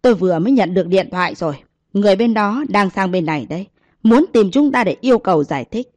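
A woman voicing a domineering old grandmother who shouts and scolds scornfully. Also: medium pitch, animated, quick pace, no echo, clean studio sound, lightly acted. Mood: scolding.

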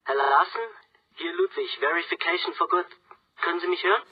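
A man's voice: a little high, brisk. An actor playing a courteous, slightly awkward man making a formal call through a phone filter in a radio drama. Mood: courteous, tentative. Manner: formal, hesitant. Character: polite, slightly awkward male fact-checker on a call. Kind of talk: naturalistic radio drama acting. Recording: muffled, husky-sounding phone line.

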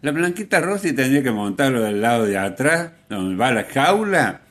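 A man with an Argentine accent hosting a casual radio talk about music, halting and repeating phrases for emphasis. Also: mid-range voice, very animated, fairly bright tone. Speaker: radio host.

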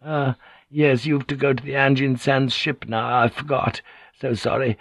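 Man doing a sly, plaintive woman, pitched up. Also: little pitch movement, a little slow, gravelly, fairly bright tone, no echo. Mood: sly courtesy. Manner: unctuous, plaintive, insinuating. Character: sly, aggrieved woman, falsely courteous and complaining. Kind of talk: audiobook character dialogue.

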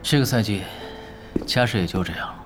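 An actor playing a laid-back young esports expert in an audio drama, casual, patient and teasing, explaining in an analytical way. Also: male voice, lowish voice, a little fast, music in the background, lightly acted. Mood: nonchalant.

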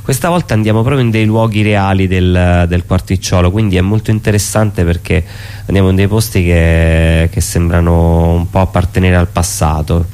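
Very low-voiced man, halting as he explains. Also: fairly even intonation, a little mellow, clear, resonant tone. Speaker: performance artist, interview guest. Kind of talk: informal spoken presentation of own show. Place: radio studio.

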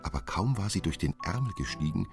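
A man narrating a literary fairy tale as an expressive, measured storyteller. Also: low voice, vocal fry, music in the background.

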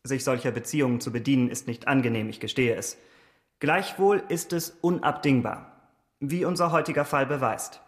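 A man's voice: medium pitch, moderate pace, lightly acted. A man playing a dogged, correct police investigator in a radio play, speaking precisely, formally and with cool insistence. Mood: formal, controlled. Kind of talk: German radio play acting.

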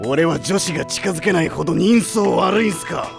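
A man playing a brash, excitable high-school boy in an anime audio drama, talking in rough, theatrical youthful slang. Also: a little fast, over music. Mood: indignant. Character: brash, loud high-school boy chairing a club meeting.

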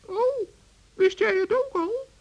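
Very high squeaky little gnome. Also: male voice, takes it slowly, dull audio, full character voice. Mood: sheepish. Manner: chattering, stammering when flustered. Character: little male forest gnome, boastful, naive and eager.

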